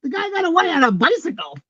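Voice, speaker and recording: A woman's voice: very high voice, wildly swooping pitch, quick pace, bright, forceful tone, dry recording, exaggerated voice. A woman playing a wry, folksy gossip in a comic staged reading, over dull video-call audio. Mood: gossipy. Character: wry, folksy gossip in amateur theater group.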